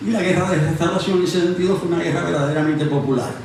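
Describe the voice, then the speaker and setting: A man explaining history in conversation, haltingly and reflectively, often repeating phrases. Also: a little high, gravelly, a little mellow. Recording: very echoey room, distant mic, faint noise.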